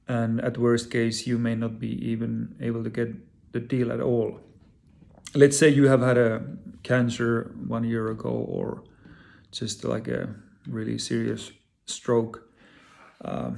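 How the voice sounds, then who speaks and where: A man with a Finnish accent, his voice deeply gravelly and crackling at mid pitch. He speaks English as a second language, earnest and halting, often pausing on fillers and repeating words as he addresses his viewers directly. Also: darkish tone. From Finland. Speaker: vlogger. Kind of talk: personal direct-to-camera talk.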